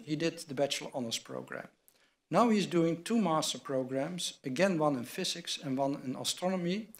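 An older man in a large wood-panelled hall, reading formal award citations from notes at a lectern microphone. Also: fairly high pitch. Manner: measured, reads from a script at a lectern. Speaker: award presenter at a university ceremony. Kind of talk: formal ceremony address read from notes.

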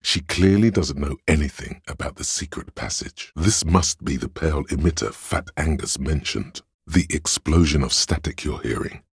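A cool, analytical inner voice of logic from a video game. It is profoundly low and heavily husky, with crackling fry at the edges. The delivery is measured and matter-of-fact, reasoning things through deliberately. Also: male voice, animated, dry recording, studio quality, full character voice. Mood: analytical, composed. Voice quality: gravelly, husky bass. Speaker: voice actor. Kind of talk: scripted game narration as an inner voice.

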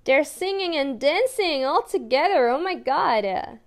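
A young woman with a Turkish accent, an English teacher reading a picture book aloud to toddlers in a slow, sing-song, playful way. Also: high voice, expressive. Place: small room indoors.

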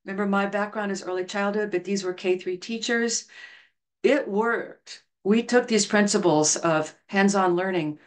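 A woman's voice: mid-range voice, normal speed. Middle-aged woman giving an earnest online talk. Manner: earnest, storytelling, reads quotes aloud. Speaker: education researcher presenting a talk. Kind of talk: online lecture over slides. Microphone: video call webcam.

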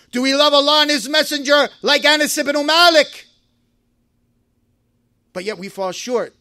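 A man giving a Friday sermon in a mosque, preaching with passion, rising to shouts and reciting Arabic verses. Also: very high voice, no room sound, studio quality.

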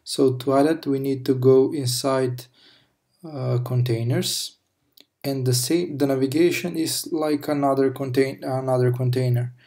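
Slow, gravelly man explaining code. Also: medium pitch, steady tone, a little mellow. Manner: slow, halting explanation with fillers and self-corrections. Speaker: programming tutor. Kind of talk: screencast coding tutorial. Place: at a desk, recorded over screen capture.

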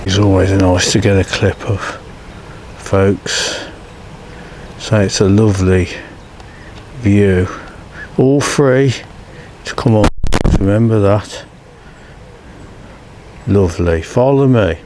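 A man's voice: fairly low pitch, slow pace, pauses often, a little mellow, a little hiss or hum. An older English man chatting casually as he rides along a canal towpath, filming for his own vlog. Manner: chatty, keeps saying folks and follow me. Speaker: vlogger. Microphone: cabled mic while riding.

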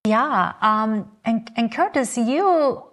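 A woman's voice: on the high side, lively intonation, takes it slowly, fairly bright tone. A woman from Turkey hosting a podcast interview, speaking hesitantly with repeated words. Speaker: podcast host. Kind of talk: interview moderation.

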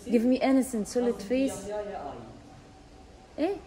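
A woman's voice: fairly high pitch, takes it slowly. A young woman, a native Arabic speaker, translating a written text aloud and searching for English words. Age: young adult. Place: large church interior. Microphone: camera held at arm's length. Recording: crowd murmur inside a church.

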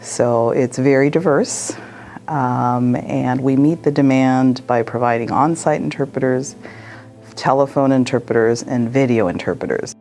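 A middle-aged woman with a very deep, mellow voice explains her work in an interview. Faint music sits behind her. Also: measured pace. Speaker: interpreter services manager. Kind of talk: documentary interview. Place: office indoors.

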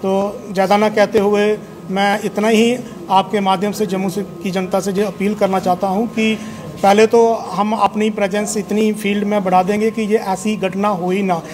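A middle-aged man, a police officer, addresses reporters in a measured, formal way, pausing often on fillers. His voice sits high and stays flat in melody. He is picked up by a bank of press microphones in an indoor room with faint background noise. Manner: measured, hesitant with frequent fillers, formal appeal. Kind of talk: press conference statement. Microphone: table of press microphones.

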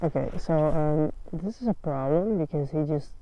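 A young woman gives casual, excitable, blunt commentary with swearing, recorded on a helmet action camera while riding outdoors. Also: deep voice, moderate pace, lo-fi sound. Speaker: motovlogger. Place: outdoors, riding an electric motorbike on roads and forest trails. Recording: noisy outdoor ride audio.

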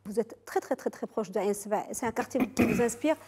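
A woman's voice: on the high side, fairly quick, warm tone, husky. A middle-aged woman hosting a TV studio interview, asking composed, leading questions on a lapel mic.

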